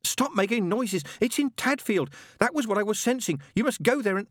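A man's voice: fairly high pitch, big pitch swings, moderate pace, fairly bright tone, no room sound, clean studio sound, voice pushed up. A man voicing a fussy, polite angel bookseller with a prim, hesitant manner in a storybook reading. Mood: frantic.

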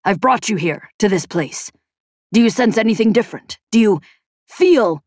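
A woman's voice: medium pitch, expressive, moderate pace, darkish tone, dry recording, clean studio sound, natural voice. A woman voicing an insistent inventor in his late fifties with a stiff, odd accent, in an audiobook. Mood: urgent, pleading.

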